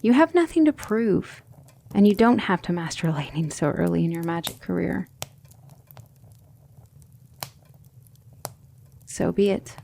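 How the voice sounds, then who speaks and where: A woman playing a gentle, patient demon tutor in an ASMR roleplay, speaking in measured, formal instruction that often drops toward a whisper. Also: mid-range voice, creaky, a little hiss or hum. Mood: gentle, grave. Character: gentle, patient demon tutor guiding a magic student, female.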